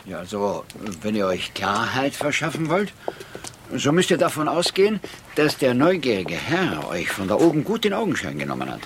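An actor playing a breezy, eccentric, self-assured gentleman sleuth in a radio drama, with casual stammered repeats. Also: medium pitch, wildly swooping pitch, normal speed, background noise. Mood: assured, philosophical.

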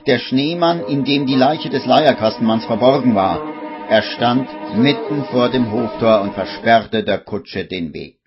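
A man narrating a radio play as a storyteller, with measured, dramatic suspense and wry irony. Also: mid-range voice, lively intonation, lightly acted. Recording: dull radio recording, music behind.

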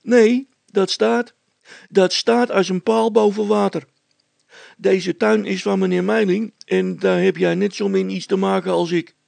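Man voicing a high-pitched cocky poacher boy. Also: fairly even intonation, unhurried, vocal fry, a little mellow, no room sound, heavily acted. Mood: defiant. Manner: cheeky, stubborn, boastful, colloquial.

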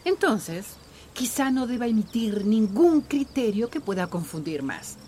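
A woman acting an indignant, animated village woman. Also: a little high, unhurried, slight background noise. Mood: scornful, indignant. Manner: sarcastic, confrontational, ranting. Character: angry, fed-up village woman railing about drought. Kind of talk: radio drama acting.

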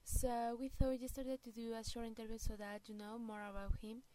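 A woman's voice: on the high side, flat delivery, a little fast, mellow. A woman vlogger interviewing her boyfriend, asking short questions with a giggly manner. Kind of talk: casual vlog interview.

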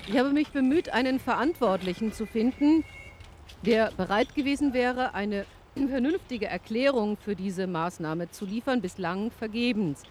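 An actress playing an earnest, troubled field reporter, giving a sober live news report in a radio play. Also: female voice, on the high side, moderate pace, darkish tone, lightly acted. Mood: sober, frustrated. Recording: clear outdoor traffic noise.

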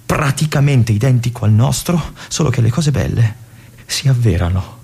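Husky, intense young lieutenant. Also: male voice, medium pitch, expressive, heavily acted. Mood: dazed, bewildered. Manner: intense, halting delivery swinging from hushed murmurs to urgent outbursts. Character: restless young male army lieutenant at a remote fortress. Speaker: stage actor performing a monologue. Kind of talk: theatrical dramatic monologue.

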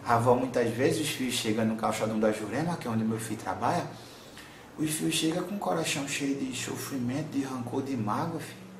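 A wise, soothing old grandmother spirit, as a male medium speaks for her, counselling in a tender voice of middle pitch. She keeps calling her listener son. The sound bounces around an echoey room with a faint hiss underneath. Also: fairly quick, lightly acted. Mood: gentle.

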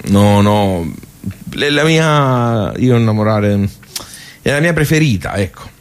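An actor with a Tuscan Italian accent playing a forgetful, garrulous old village club regular in a radio theatre monologue, rambling, halting and repeating himself colloquially. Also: male voice, deep voice, a little mellow, a little hiss or hum, full character voice. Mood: rambling, fond. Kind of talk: theatrical vernacular monologue told as casual bar storytelling.

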